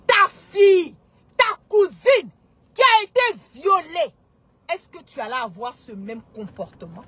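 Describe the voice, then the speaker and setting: Very high-pitched, forceful Ivorian woman ranting. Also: dry recording. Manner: indignant, emphatic, repetitive, mocking. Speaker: online commentator. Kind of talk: impassioned political rant.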